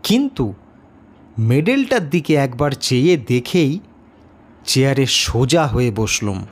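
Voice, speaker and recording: A man's voice: mid-range voice, very animated, slow pace. A man narrating a literary story in the first person, reading from a script.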